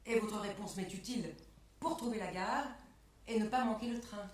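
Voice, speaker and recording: A woman delivering a scripted spoken exposé in a measured, carefully enunciated way, setting phrases apart like quotations. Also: medium pitch, distant mic. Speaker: performer in a spoken-word performance lecture. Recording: echoey room, picked up from afar.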